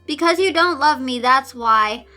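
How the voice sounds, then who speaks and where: A woman's voice: sits high, lively intonation, measured pace, bright, forceful tone, faint music behind, exaggerated voice. A woman voicing a depressed, defiant teenage daughter in a storybook reading, blunt and sardonic. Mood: bitter. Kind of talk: amateur storybook-style reading of fan fiction.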